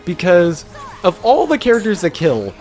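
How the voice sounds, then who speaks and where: A man's voice: high-pitched, wildly swooping pitch, moderate pace, a little mellow. A young man giving casual, rambling let's play commentary with an exasperated streak. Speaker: gaming video host. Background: game music.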